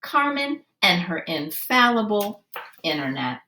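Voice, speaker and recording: A woman reading a children's story aloud expressively, with deliberate, dramatic emphasis. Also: mid-range voice, sing-song, pushed and bright, slight background noise. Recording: roomy, echoey sound.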